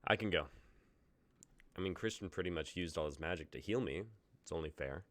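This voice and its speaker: Nick, a casual, jokey young man, read by a man in a low voice with dry, close sound. He talks in an easy, offhand way. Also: fairly quick, fairly bright tone, dry recording. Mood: easygoing.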